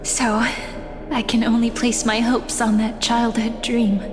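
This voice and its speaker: A playful young heroine, voiced by a woman, talks in a slightly high, unhurried voice. She teases softly and stays gentle even when her words turn serious. A long reverb and faint music sit behind her. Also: natural voice. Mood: calm. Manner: teasing and gentle. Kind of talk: game cutscene voice acting.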